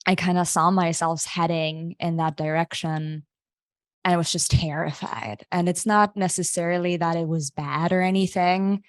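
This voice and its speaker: A young woman from Austria tells her story in a low, fairly steady voice, candid and unguarded. The sound is dry and close, with no room around it. She often slips into quoting what she thought at the time. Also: moderate pace, no echo. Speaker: podcast guest. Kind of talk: casual storytelling.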